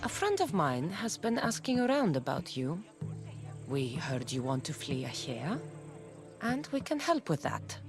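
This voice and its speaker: A woman's voice: deep voice, huge pitch swings, measured pace, over music, full character voice. An actress playing a bold, wry mercenary woman of ancient Greece in a video game, confident and direct. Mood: earnest, probing. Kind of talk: video game cutscene acting.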